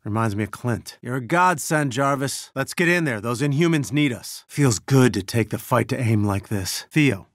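A man's voice: mid-range voice, lively intonation, normal speed, hardly any pauses, no echo, clean studio sound, lightly acted. A man playing an earnest scientist who leads a resistance, briefing a team in a businesslike, urgent way in a video game. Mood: steady, businesslike. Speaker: game character giving mission briefings. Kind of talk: video game mission dialogue.

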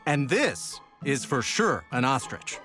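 Playful, very expressive woman reading a storybook. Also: extremely low pitch, takes it slowly. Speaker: storybook narrator. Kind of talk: children's read-aloud narration. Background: music under the narration.

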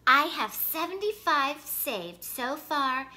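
A woman's voice: sits high, big pitch swings, takes it slowly, bright, forceful tone, full character voice. A woman voicing an earnest, hardworking young boy from a storybook. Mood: a little disappointed.

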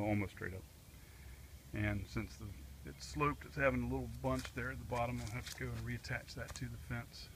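A man's voice: medium pitch, a little hiss or hum. A man working outdoors in a wooded backyard, talking through his fence job into a handheld camera in a plain, practical way, with fillers as he thinks aloud. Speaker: DIY vlogger. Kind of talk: casual how-to narration. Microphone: handheld camera mic.

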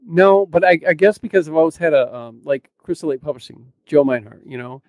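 A man with a somewhat higher voice talks in a casual, conversational way. He is a podcast co-host speaking close to a studio mic, with hardly any room sound. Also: a little fast, darkish tone, dry recording. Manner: informal, conversational. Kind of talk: casual chat. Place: small room at home, indoors. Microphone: close studio mic on boom arm.

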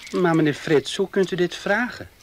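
An actor playing a deferential young farmhand in a 1970s radio play. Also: male voice, high-pitched, moderate pace, slight background noise, lightly acted. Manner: polite, deferential.

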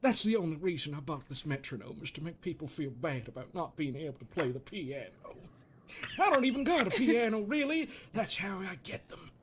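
Man acting as a jokey game-dub therapist, high-pitched, swooping delivery, theatrical, needling, noisy recording. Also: big pitch swings. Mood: needling, wry. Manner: theatrical, halting, swearing, self-amused with chuckles. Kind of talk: improvised comedic game fandub.

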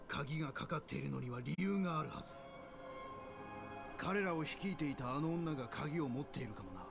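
Grim gravelly villager guy, higher pitched, dark fantasy game, music behind. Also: animated, fairly quick. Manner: urgent, grim. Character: gritty, alarmed village man survivor in dark fantasy.

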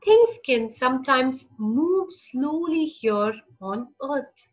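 A woman narrating a picture book for young children, with deliberate, expressive phrasing and short pauses. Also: high voice, lo-fi sound. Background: music.

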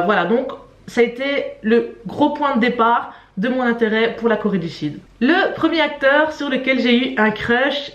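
A young woman speaks in a fairly high voice, chatting informally to her viewers. She is expressive and leans on emphatic words. The sound has a clear roomy echo, as if recorded at home a little way from the camera. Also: lo-fi sound. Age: young adult. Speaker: YouTube vlogger presenting to camera. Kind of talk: casual chatty vlog. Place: small room at home.

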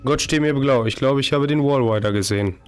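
Hoarse man chatting over a horror game. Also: mid-range voice, fairly quick, soft background music. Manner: chatty, jumpy reactions to scares. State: hoarse from a cold. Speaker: let's play host. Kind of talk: casual gameplay commentary. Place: home streaming room, indoors. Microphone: desk microphone, headset on.

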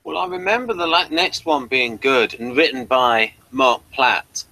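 A man co-hosting a casual chat, chiming in with quick, brief remarks. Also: mid-range voice, pushed and bright, no room sound, poor audio. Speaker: podcast co-host.

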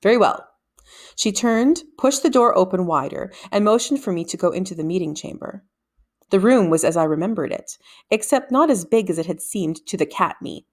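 A middle-aged woman reading a children's novel aloud in a measured, deliberate storytelling manner. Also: mid-range voice, lively intonation. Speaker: read-aloud narrator. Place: small room at home, indoors. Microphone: desktop microphone in front of her.

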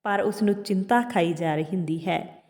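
A woman narrating a moral folk tale as a storyteller, delivering it deliberately, phrase by phrase with pauses. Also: mid-range voice, darkish tone. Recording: long hall-like reverb.

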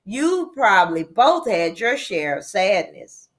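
A woman reading the part of a kindly, wise older female librarian in a storybook, measured and gently explaining. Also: mid-range voice, big pitch swings, full character voice. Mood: wistful, kindly. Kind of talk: storybook character read aloud.

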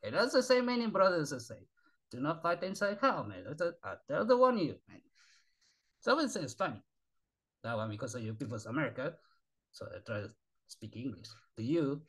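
A tired man, a native Spanish speaker, rambling in halting, repetitive broken English with sighs as he talks to his channel's viewers from a small bedroom on a webcam. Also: fairly high pitch, big pitch swings, fairly bright tone, husky. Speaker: channel host. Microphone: webcam at desk.

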